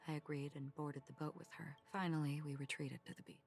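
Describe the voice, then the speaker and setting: An actress playing a calm, world-weary wanderer in a video game, telling of her travels in measured, solemn storytelling. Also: low-pitched, vocal fry. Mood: calm, reflective. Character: calm, solemn, world-weary wandering woman recounting what she has seen. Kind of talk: scripted video game dialogue. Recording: music behind the voice.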